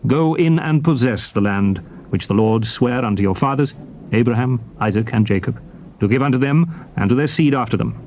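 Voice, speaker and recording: A man's voice: medium pitch, normal speed, a little mellow, natural voice. An actor playing Moses, a solemn prophet leader addressing his people in formal, emphatic scripture oratory on a noisy old tape. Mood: solemn, exhorting. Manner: declamatory, formal, emphatic phrasing.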